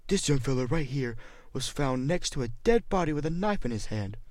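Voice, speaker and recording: A man's voice: medium pitch, expressive, brisk, a little mellow, dry recording, voice pushed up, lightly acted. Man playing a firm, formal police officer. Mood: firm, official. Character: stern, businesslike adult male police officer.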